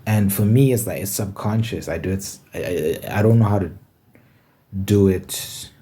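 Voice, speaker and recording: A man's voice: deep voice, normal speed, dark tone, slightly rough. A man from Zimbabwe talking casually to camera in his room, rambling and correcting himself as he thinks aloud. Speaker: ASMR channel host. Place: bedroom with window.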